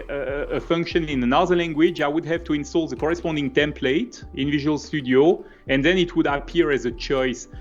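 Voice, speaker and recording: A man with a Swiss French accent giving a conversational live coding walkthrough as a software developer. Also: fairly high pitch, dull audio. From French-speaking Switzerland.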